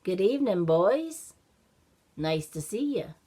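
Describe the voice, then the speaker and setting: Lady being a gentle storybook snowman, very slow, patient, kinda wistful. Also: medium pitch, very animated, breathy edge, full character voice. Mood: friendly.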